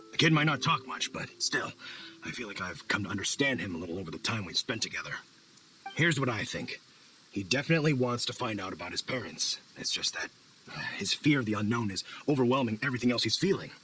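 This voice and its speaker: A friendly middle-aged hunter, speaks like a caring father and mentor in a video game. The voice is bright and very expressive, with thoughtful conversational phrasing over faint ambient noise. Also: lowish voice, rough recording. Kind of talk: video game character acting.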